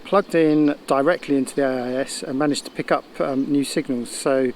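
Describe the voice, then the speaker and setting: A man's voice: medium pitch, steady tone, a little fast, a little mellow, a little hiss or hum. A man talking casually to camera as a sailing vlogger, explaining things and thinking aloud in a slightly hesitant way. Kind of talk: casual explanatory vlog talk.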